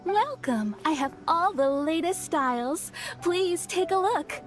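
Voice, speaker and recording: A woman's voice: very high-pitched, expressive, slow, heavily acted. A woman voicing a courteous, businesslike female tailor who runs a shop in a video game. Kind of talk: game voice acting. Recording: game audio with faint background music.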